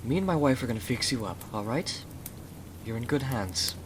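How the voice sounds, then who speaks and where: A man's voice: mid-range voice, animated, normal speed, natural voice. A man playing a gallant, protective husband in an ASMR roleplay, speaking in a soothing, courteous, old-fashioned way. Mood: gentle, courteous. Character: Jonathan, gallant protective husband, gentle rescuer. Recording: clearly noisy, rain behind the voice. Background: rain.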